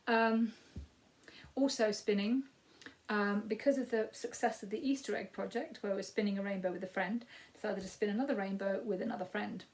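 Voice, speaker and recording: Croaky Yorkshire woman in her fifties. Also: medium pitch, steady tone, moderate pace, darkish tone. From Yorkshire, England. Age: 50s. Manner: rambling, with frequent ums and trailing asides. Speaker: crafting podcast host. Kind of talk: casual chatty crafting update.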